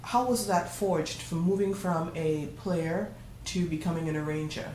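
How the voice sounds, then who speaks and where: A woman's voice: fairly low pitch, a little slow, darkish tone, a little hiss or hum. A woman hosting an interview, asking questions and prompting her guest, often finishing his sentences. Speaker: interviewer, host. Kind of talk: conversational interview. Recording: echoey room, faint ambience.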